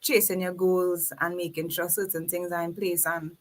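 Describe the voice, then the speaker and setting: A woman's voice: lowish voice, flat delivery, normal speed. A woman from Trinidad with a Trinidadian accent, speaking as a guest in an earnest, reflective podcast conversation. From Trinidad and Tobago. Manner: earnest, reflective, faith-filled.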